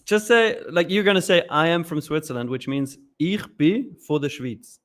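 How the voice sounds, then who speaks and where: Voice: mid-range voice, lively intonation, moderate pace. A co-host on a live trading stream, chatting casually, teasing the others and laughing often. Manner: teasing and jokey, laughs a lot. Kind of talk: casual chat.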